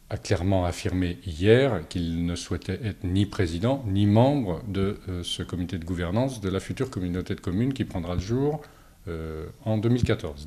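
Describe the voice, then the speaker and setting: A man gives a formal, measured political statement as a local opposition politician, halting often on long hesitations. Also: sits low, warm tone. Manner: hesitant, halting delivery with frequent long filler pauses. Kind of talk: political statement to camera, semi-prepared.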